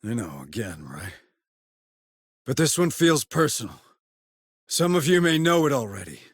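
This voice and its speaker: A man's voice: medium pitch, a bit bright, dry recording, clean studio sound. An actor playing a hot-tempered marine sergeant and protective father in a video game, blunt and commanding. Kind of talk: dramatic video game cutscene acting.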